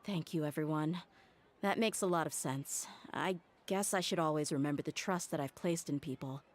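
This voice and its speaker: A woman as an earnest young maid, low-voiced. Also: lightly acted. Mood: grateful. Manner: earnest and measured. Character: earnest young female servant, loyal and conflicted. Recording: faint game music behind.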